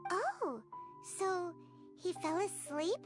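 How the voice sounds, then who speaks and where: A woman voicing a tiny, childlike, excitable fairy companion with a squeaky voice in an anime game dub. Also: drawn-out words, heavily acted. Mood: puzzled. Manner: squeaky, speaks of self in third person. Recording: music playing behind the voice.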